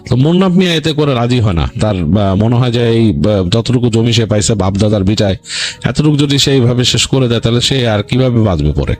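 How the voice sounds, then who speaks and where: A man with a Bangladeshi accent hosting a story show, narrating in an unscripted, halting, conversational way and often rephrasing himself. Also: mid-range voice, animated, darkish tone. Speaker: horror story show host. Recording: music bed under voice. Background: background music.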